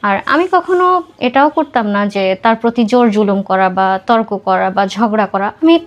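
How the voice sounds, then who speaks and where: A woman's voice: medium pitch, moderate pace, dull audio. A young woman with a Bangladeshi accent tells her personal story conversationally in an interview, mixing in English words. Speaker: podcast guest telling own life story.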